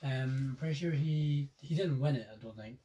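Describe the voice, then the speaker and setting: A teenage boy with a Scottish accent, co-hosting a podcast in casual chat, hesitant and filling pauses with ums. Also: fairly high pitch, warm tone, recorded from a distance, highs cut off. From Scotland. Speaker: podcast co-host. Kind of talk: casual chat among friends.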